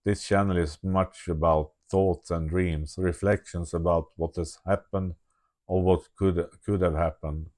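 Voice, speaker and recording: A man's voice: low voice, steady tone, unhurried, a little mellow. A middle-aged man from Sweden with a Swedish accent, reading a prepared diary-style vlog in English with careful pacing and small self-corrections, recorded on a phone with an external microphone. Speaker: vlogger.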